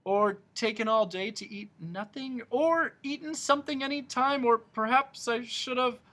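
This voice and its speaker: A man's voice: very high-pitched, normal speed, sharp tone, voice pushed up. A man voicing a pompous, wheezing male humbug, a bug-like creature who talks in flowery, repetitive phrases.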